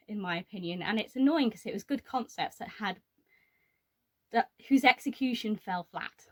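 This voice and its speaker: Higher-voiced young woman chatting about books. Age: young adult. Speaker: book reviewer. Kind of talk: casual chat to camera about books.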